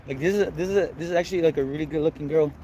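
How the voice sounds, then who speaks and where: A young man livestreaming and chatting to his viewers, nervous and halting, stumbling through restarts and fillers, with English as his first language. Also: on the high side, dark tone, a little hiss or hum. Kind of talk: IRL livestream chat. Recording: music playing underneath. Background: music.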